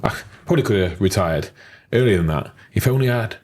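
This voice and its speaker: A man's voice: lowish voice, expressive, brisk, darkish tone, a little hiss or hum. A man in his thirties, co-hosting a casual business podcast with dry, teasing asides. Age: 30s. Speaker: podcast co-host.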